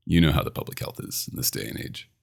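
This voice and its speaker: A man playing a dominant, possessive vampire doctor in an ASMR roleplay, intimate and teasing with a smooth menace. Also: quick pace, natural voice. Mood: polite, coy. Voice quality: very deep, gravelly.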